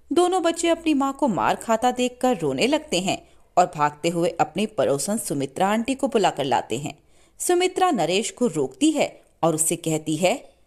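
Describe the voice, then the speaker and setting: A woman's voice: mid-range voice, a little mellow. A woman storyteller narrating a scripted moral tale with dramatic storybook delivery.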